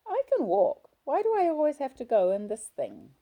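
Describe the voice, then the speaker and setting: A woman's voice: sits high, wildly swooping pitch, normal speed, phone-quality sound, voice pushed up, heavily acted. A woman voicing a blunt, chatty hospital patient. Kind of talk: audiobook character voice.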